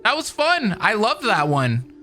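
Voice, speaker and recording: A young man with a bright, forward voice, chattering excitedly and casually as he reacts to a game. Faint game music runs underneath him. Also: sits high, measured pace. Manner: excitable, slangy, talking over game audio. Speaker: gaming reaction host.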